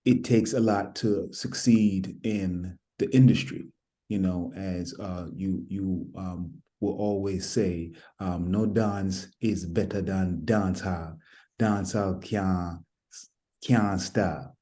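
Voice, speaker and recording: A man hosting an interview, asking slow, drawn-out questions with many fillers. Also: sits low, steady tone, dark tone. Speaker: interviewer host. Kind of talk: casual interview talk.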